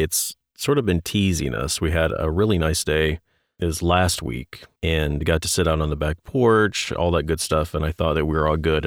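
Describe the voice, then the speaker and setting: A man's voice: very deep voice, dry recording, clean studio sound. A man co-hosting a podcast, chatting and interviewing in a conversational way.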